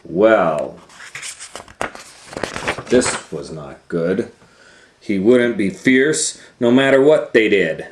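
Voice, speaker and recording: A man reading a children's storybook aloud as a host, in a dramatic, teacherly manner, pausing to comment and ask questions. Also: on the low side, animated. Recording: echoey room with faint noise.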